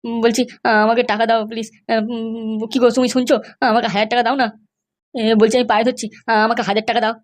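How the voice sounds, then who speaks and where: A woman's voice: on the high side, flat delivery, quick pace, lightly acted. A woman voicing a brash young male suitor in a comedy cartoon. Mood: nervous, pleading. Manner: blustering, pleading. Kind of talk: amateur comedy cartoon dub.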